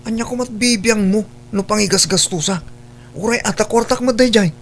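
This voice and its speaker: A man's voice: high-pitched, a little fast, creaky, soft background music. A man playing a defiant, lazy college-age son in a radio drama, insolent and dismissive. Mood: irritated, insolent. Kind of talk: Ilocano radio drama acting.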